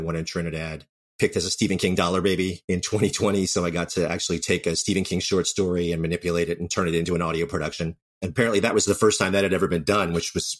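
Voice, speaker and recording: A man speaking casually as an interview guest about his creative work. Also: low-pitched. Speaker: interview guest, screenwriter and producer. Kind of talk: casual interview chat about own career. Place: remote call from home.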